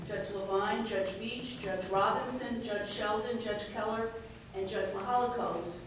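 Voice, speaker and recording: A woman acting as a judge gives a measured, formal welcome speech in a courtroom. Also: mid-range voice. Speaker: chief judge giving ceremony welcome. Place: large echoey courtroom. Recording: very echoey, distant mic, faint noise.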